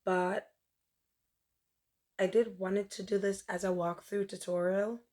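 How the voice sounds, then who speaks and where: A young woman hosting a chatty crochet tutorial, her voice mostly gone and rough from a dry throat, rambling and correcting herself as she goes. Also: mid-range voice, flat delivery, takes it slowly, a little mellow. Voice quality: strained, rough. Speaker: crochet tutorial host and shop owner.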